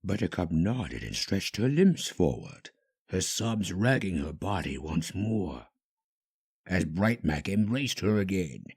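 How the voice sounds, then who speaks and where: Deep, husky, expressive male storyteller. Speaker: fan-fiction narrator. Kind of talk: dramatic scripted story narration.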